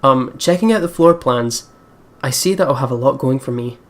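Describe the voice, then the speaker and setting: A woman hosting a let's play, reading visual novel narration and reacting in casual, expressive, sweary commentary. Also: extremely low pitch, normal speed.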